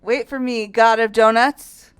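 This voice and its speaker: A woman voicing an energetic, athletic teenage girl obsessed with donuts, gushing and emphatic, in a fan-voiced visual novel game. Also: fairly high pitch, unhurried, clean tone, natural voice. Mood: frantic.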